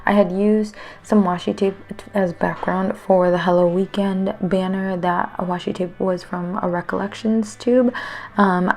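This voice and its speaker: A woman's voice: mid-range voice, darkish tone. A woman in her thirties, a teacher, rambling casually with many fillers in a voice-over for her planner video, her voice a little worn. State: slightly losing her voice.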